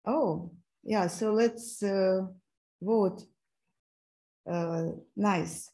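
A woman in her thirties with a Ukrainian accent, hosting an online learning event over a video call, talking haltingly with many fillers and restarts. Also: medium pitch, vocal fry, dark tone, rough recording. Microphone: laptop mic on a video call.

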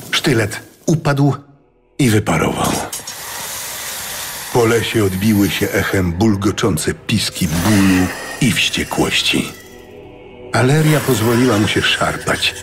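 A man giving a scripted, dramatic narration of a fantasy audiobook. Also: on the low side. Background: music.

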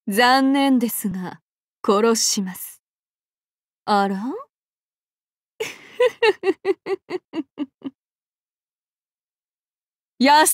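Casting: anime game warrior demigoddess, high-pitched, extremely unhurried, archaic courtesy over menace. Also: female voice, dry recording, clean studio sound, exaggerated voice. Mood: cold, lethal. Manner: archaic formal polite speech, slow and deliberate. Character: soft-spoken, courteous, menacing female avenger demigod warrior. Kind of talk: anime mobile game voice lines.

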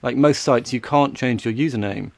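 A man in his thirties co-hosting a casual tech podcast in a matter-of-fact, low-key way. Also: normal speed, vocal fry, a little mellow, no room sound. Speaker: podcast co-host. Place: small home office.

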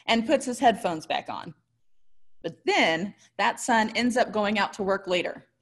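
An American woman in her thirties giving a prepared but conversational sermon as a pastor, with expressive, articulate storytelling. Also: medium pitch. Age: 30s. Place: small indoor room.

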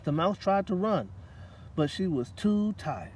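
A middle-aged man reads to children as a guest storyteller, with dramatic phrasing. His voice is fairly high and very animated, recorded outdoors a short way from the mic. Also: a little slow. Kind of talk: children's picture-book read-aloud. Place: outdoor table in a leafy park. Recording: distant microphone, light outdoor noise.

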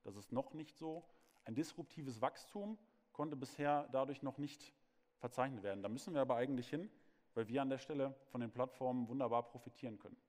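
A man's voice: mid-range voice, brisk, a little mellow. Man giving a keynote in a hall. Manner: speaks freely with frequent fillers and restarts. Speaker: keynote speaker. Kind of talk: conference keynote talk. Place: large hall on a conference stage. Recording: long hall reverb.

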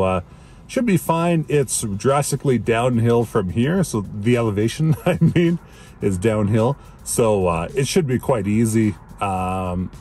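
A man's voice: on the low side, a little mellow, slight background noise. A man in his thirties, chatty and enthusiastic, filming himself from inside a parked car with a phone at arm's length. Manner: chatty, enthusiastic, many fillers. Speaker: EV road trip vlogger. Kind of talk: casual vlog talk to camera. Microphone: phone camera at arm's length.

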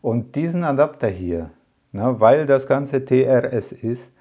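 A man's voice: medium pitch, lively intonation, a little slow, mellow, dull audio. A man talking casually to camera as a tech vlogger, rambling with many fillers and asides. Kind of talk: casual product talk to camera.

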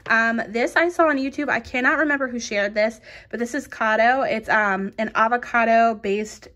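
A woman's voice: on the high side, moderate pace, a bit bright. A young woman vlogging from her home kitchen, chatting upbeat and casually with lots of "um" and "like.". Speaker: lifestyle vlogger. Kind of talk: casual grocery haul chat. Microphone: camera on counter, medium distance.

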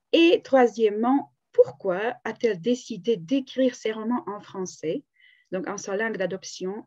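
A woman's voice: mid-range voice, darkish tone. A woman teacher leading an online class over a video call, explaining patiently with many fillers. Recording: dull lo-fi call audio.

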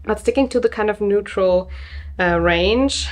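A young woman presenting her hand-dyed yarns in a casual, chatty way, pausing often with fillers and restarts, a little sniffly from hay fever. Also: medium pitch. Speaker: yarn dyer presenting her collection.

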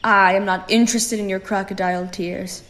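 A woman's voice: medium pitch, steady tone, moderate pace, a bit bright, close to an everyday voice. A woman playing an older, unforgiving grandmother in a sci-fi audio drama, with a stern, emphatic delivery. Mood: bitter, stern. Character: stern, unforgiving grandmother guarding her family.

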